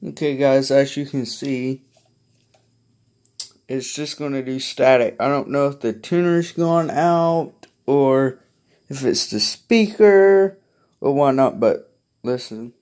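A man talks slowly and casually at a middling pitch, as a hobbyist vlogger would. He rambles and hesitates, often letting his sentences trail off, recorded on a handheld camera in a small bedroom. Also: darkish tone. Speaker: hobbyist vlogger showing a collection. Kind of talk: casual rambling chat to viewers. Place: small carpeted bedroom, indoors. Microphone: handheld phone or camera held by the speaker.

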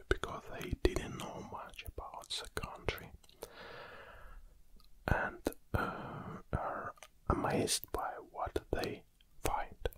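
A man in his forties from Kazakhstan with a Russian accent, hosting an ASMR video in a slow, soft close whisper with small hesitations, recorded on a studio condenser mic in a small home studio. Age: 40s. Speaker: ASMR channel host. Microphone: studio condenser mic on a stand, wearing headphones.

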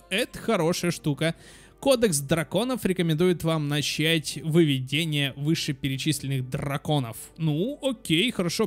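A young man giving casual, animated let's play commentary for children, exclaiming and thinking aloud as he goes. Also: fairly high pitch. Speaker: host of children's gaming channel. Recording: music behind. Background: game music.

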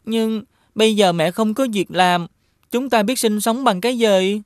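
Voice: medium pitch, steady tone, measured pace, dry recording, studio quality, faint music behind, close to an everyday voice. A woman voicing an earnest child of a village widow in a fairy-tale cartoon. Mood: worried.

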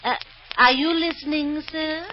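An actress playing a scheming, domineering wife in an old-time radio drama, imperious one moment and coy the next. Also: female voice, high voice, fairly even intonation, unhurried. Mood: coy, conniving. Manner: imperious, snapping, sweetly coy on the telephone. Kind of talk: 1950s radio drama acting. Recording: dull, noisy old radio recording.

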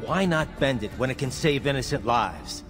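A man's voice: medium pitch, animated, normal speed, music in the background, natural voice. A man voicing an indignant, righteous cyborg soldier in a video game. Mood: urgent pleading.

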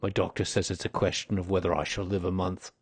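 A man's voice: low-pitched, flat delivery, fast, slightly rough, no echo. A man voicing a weary, grave old man confessing his past in an audiobook story. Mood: weary, resigned. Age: older. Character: weary, dying old man, confessed former highway robber.

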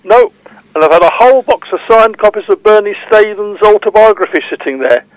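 A man's voice: sits high, animated, brisk, dry recording, muffled recording. A man playing a matey football memorabilia collector calling in to a podcast. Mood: matey, earnest. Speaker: podcast phone-in caller. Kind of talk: conversational audio drama acting.

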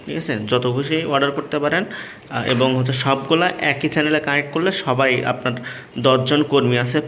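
A man's voice: medium pitch, a bit bright, slight background noise. A man with a Bangladeshi accent giving a casual, unscripted product demonstration as a seller, explaining repetitively and often checking that he is understood. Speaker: electronics seller presenting a product tutorial.

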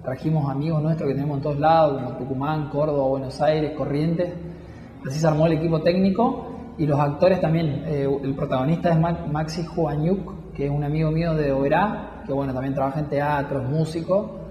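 Halting man in roomy sound. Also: fairly high pitch, fairly even intonation, muffled recording, a little hiss or hum. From Misiones, Argentina. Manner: halting, many restarts and fillers. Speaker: filmmaker. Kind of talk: interview talk.

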